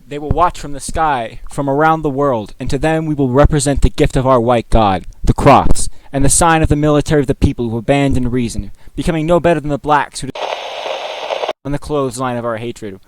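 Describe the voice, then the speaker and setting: A man's voice: medium pitch, a little fast, dry recording, close to an everyday voice, low-quality recording. A man voicing a zealous, menacing hate-group leader making a speech in a radio drama. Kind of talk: 1920s radio drama oratory.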